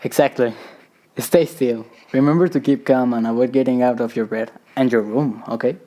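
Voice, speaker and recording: A man playing a measured, authoritative hospital doctor attending a patient in a radio drama. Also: medium pitch, fairly even intonation, warm tone, lightly acted. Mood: calm, clinical. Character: calm, firm psychiatric doctor checking on a patient.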